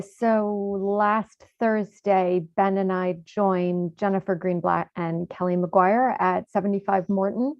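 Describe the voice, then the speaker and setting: A woman council member giving a measured, formal report at a meeting. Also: fairly low pitch, vocal fry, darkish tone, dry recording, dull audio.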